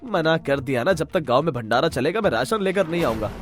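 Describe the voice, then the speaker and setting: A man's voice: a little high, expressive, fast, soft background music, lightly acted. A man voicing a stubborn, brash village freeloader in a cartoon story, arguing in exclamations. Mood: irritated. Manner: argumentative, exclamatory.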